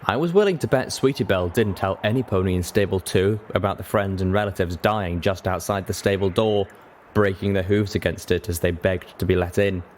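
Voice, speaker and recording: Low-voiced man narrating a story. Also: a little fast, a little hiss or hum. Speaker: audiobook narrator.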